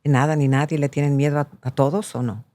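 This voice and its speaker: A woman's voice: deep voice, fairly even intonation, fast talker, dark tone, no room sound. A woman journalist hosting an interview, asking brief, probing questions.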